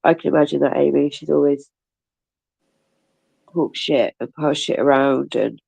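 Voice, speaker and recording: A woman's voice: low-pitched, fairly even intonation, a little slow, gravelly, mellow, breathy edge, no room sound, poor audio. A woman hosting a casual livestream, gossiping and swearing with a bunged-up cold and laughing often. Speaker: livestream host. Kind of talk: casual chat with viewers.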